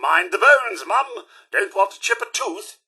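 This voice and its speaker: A fussy British robot butler for a video game, prim and devoted. The voice sits high and swings widely in pitch, with a bright, forceful edge. The phrasing is formal and deferential, with dry understatement. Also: male voice, big pitch swings, measured pace, husky, exaggerated voice. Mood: wry, polite. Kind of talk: video game companion voice lines.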